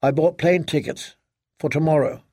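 A man voicing a frightened, fragile grown daughter in an audiobook, halting and stammering as she pleads like a child. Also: on the high side, fairly even intonation, creaky, a little mellow, slightly rough, dry recording, studio quality. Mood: scared, pleading. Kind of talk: dramatic audiobook character reading.

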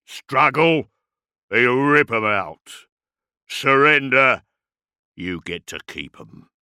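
A man's voice: mid-range voice, expressive, slow, bright, forceful tone, smooth, no echo, studio quality, voice pushed up, exaggerated voice. A man voicing a brutish orc ambassador for an audiobook, guttural and blunt, speaking in terse, contemptuous threats. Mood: menacing, contemptuous.

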